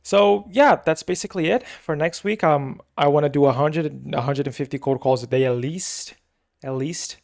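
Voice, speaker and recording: A man's voice: a little high, normal speed, gravelly, darkish tone. Brazilian-accented man rambling through a recap. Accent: Brazilian Portuguese. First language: Portuguese. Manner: rambling, repeats himself, many fillers. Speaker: agency owner recapping his week. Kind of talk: casual weekly recap vlog.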